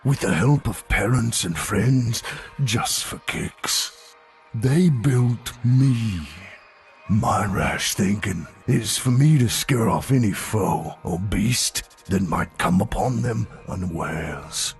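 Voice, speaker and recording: A man voicing a gigantic, gentle abominable snowman in an audio storybook, solemn and gravelly, with a halting, drawn-out delivery. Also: lowish voice, expressive, faint music behind, full character voice. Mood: reminiscing. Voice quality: gravelly, creaky.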